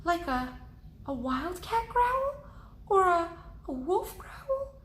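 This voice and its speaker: A woman's voice: very high voice, sing-song, slow pace, fairly bright tone, voice pushed up, exaggerated voice. A woman voicing a young boy adventurer who is worried, grumbly and doubtful, in a storybook reading. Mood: alarmed. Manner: exclaiming, grumbling. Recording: roomy, echoing indoor sound.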